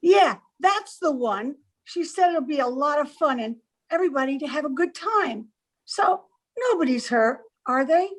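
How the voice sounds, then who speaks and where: A woman acting a brassy, brash dame in an old-time radio comedy. Her voice sits high and her talk is blunt and slangy, like a woman hired to play the wronged wife. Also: lively intonation, moderate pace. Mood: frank, casual. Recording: amateur group video-call recording.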